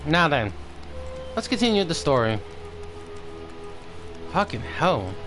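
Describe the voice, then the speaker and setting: A man with a fairly high voice chats casually on a game livestream. His pitch moves around a lot, and he grumbles and swears as he goes, with music behind him. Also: big pitch swings, slow pace. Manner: profane, grumbling. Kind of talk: casual stream chat.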